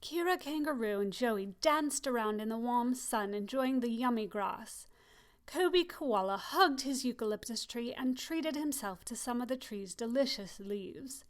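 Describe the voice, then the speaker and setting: A woman reading a children's picture book aloud, expressive and slowing down on key phrases. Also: a little high. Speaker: storybook reader. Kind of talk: children's story read-aloud.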